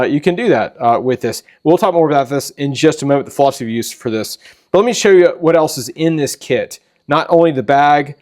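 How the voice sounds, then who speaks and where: A man hosting a casual product walkthrough, talking in an informal, halting and rambling way. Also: mid-range voice, darkish tone. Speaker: host of a preparedness channel.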